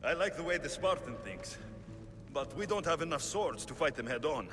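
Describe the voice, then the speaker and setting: A wry, steady mercenary hero in a game cutscene, mid-pitched with lively inflection. Loud music sits under the voice, and there is a touch of hall reverb. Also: male voice, normal speed. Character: Alexios, male Spartan-born mercenary, dry wit. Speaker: game protagonist. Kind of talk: video game cutscene acting.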